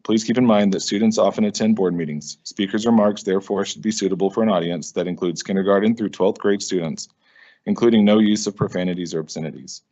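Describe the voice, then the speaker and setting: A man chairing a school board meeting, briskly reading out formal rules for public comment into a meeting microphone. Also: on the low side, fairly even intonation, warm tone. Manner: brisk, procedural, measured reading. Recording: dull lo-fi meeting audio.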